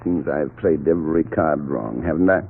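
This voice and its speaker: An actor playing a resigned, wordy, genteel crook in a 1940s radio mystery, with a sighing, mannered delivery. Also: male voice, very deep voice, moderate pace, gravelly. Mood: rueful resignation. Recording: tinny, scratchy 1940s radio recording.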